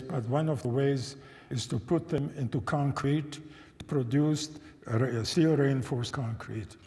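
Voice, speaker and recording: A man in his nineties, a native German and Czech speaker, recounting a personal memory to an audience in a thoughtful, measured way, often restarting phrases and asking listeners questions. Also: medium pitch, mellow. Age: 90s. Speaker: guest speaker. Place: church sanctuary. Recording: reverberant church hall.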